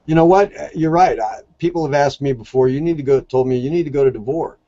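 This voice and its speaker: A man's voice: medium pitch, fast talker, dark tone, dry recording, highs cut off. A man talking casually as an interview guest, telling stories in a plain-spoken, earnest way. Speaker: podcast guest, shelter dog volunteer.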